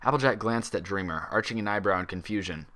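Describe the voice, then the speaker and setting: Bright, low-pitched male story narrator. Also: fast. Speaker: fan fiction reader. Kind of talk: scripted story narration, expressive.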